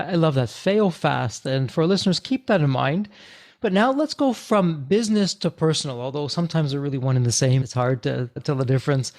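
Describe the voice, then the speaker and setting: A man's voice: medium pitch, a little mellow. A man hosting a podcast interview who frames his questions in an upbeat, theatrical way. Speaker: podcast host.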